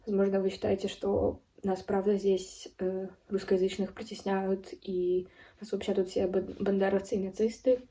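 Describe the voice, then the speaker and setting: A young woman in her early twenties from Ukraine speaks with a very mellow, dark tone at a middling pitch. She tells a personal account solemnly, in careful phrases broken by pauses. The microphone sounds some distance away. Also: level tone. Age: early 20s. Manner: serious, solemn. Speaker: video blogger. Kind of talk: personal account spoken to camera.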